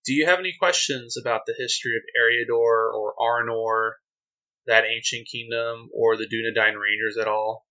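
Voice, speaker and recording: Bright-voiced man hosting, slightly dull recording. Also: mid-range voice, fairly even intonation, moderate pace. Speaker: podcast host. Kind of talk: casual explanatory chat about a tabletop game.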